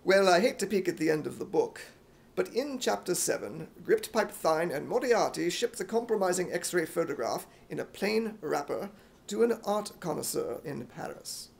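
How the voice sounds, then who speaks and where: A performer voicing a formal, deadpan male radio announcer with an imitation British accent. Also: medium pitch, normal speed, creaky, voice pushed down. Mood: deadpan, proper.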